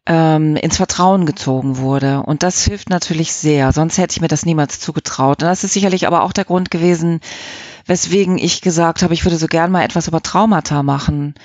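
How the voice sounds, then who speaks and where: A woman's voice: on the low side, a little mellow, no echo, muffled recording. A middle-aged woman giving earnest, thoughtful answers as an interview guest.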